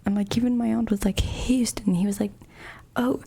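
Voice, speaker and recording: A woman's voice: mid-range voice, fairly even intonation, fairly quick, gravelly, mellow, breathy edge. A woman streamer who whispers casual stories and chat replies, often giggling and sometimes switching into French. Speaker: livestreamer reading chat.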